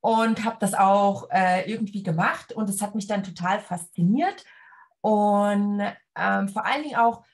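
A woman in her forties from Leipzig, a sewing teacher talking casually on a video call, relaxed with many fillers and hand gestures. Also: mid-range voice, measured pace. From Leipzig, Germany. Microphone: webcam on a video call.

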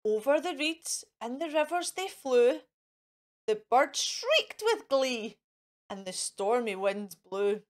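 A woman reading a children's storybook aloud in a theatrical, expressive, drawn-out manner. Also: high voice.

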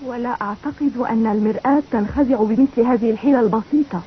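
An actress playing a gentle, principled young princess in a cartoon dub, earnest and sweet. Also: female voice, a little high, quick pace, close to an everyday voice. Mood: indignant. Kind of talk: dubbed cartoon acting. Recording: tinny old cartoon dub, faint noise.

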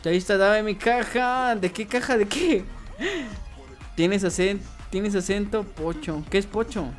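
A man's voice: sits high, fairly even intonation, a little slow, soft background music. A young man with a Mexican accent chatting casually on a game livestream, reading viewers' comments aloud and answering them. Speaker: streamer. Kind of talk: casual livestream chat while gaming.

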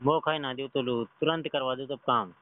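A man's voice: medium pitch, steady tone, moderate pace. A man asking questions as a reporter in a casual phone interview. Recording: tinny phone call recording.

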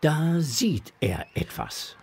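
A man's voice: fairly high pitch, big pitch swings, slow pace, gravelly, slightly rough, heavily acted. A man narrating a scripted audio drama for children.

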